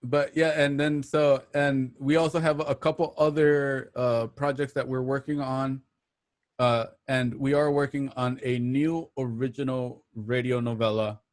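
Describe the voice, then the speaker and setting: A man hosting an online show, giving casual, slightly halting announcements and plugs to listeners. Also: mid-range voice, flat delivery. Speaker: host of an online radio drama show.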